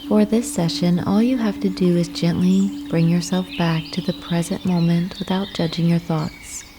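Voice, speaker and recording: A woman narrating a guided meditation, giving gentle, soothing instructions with unhurried pauses. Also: on the low side, fairly even intonation, noisy background. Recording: clear nature ambience with birdsong. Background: birdsong and nature ambience.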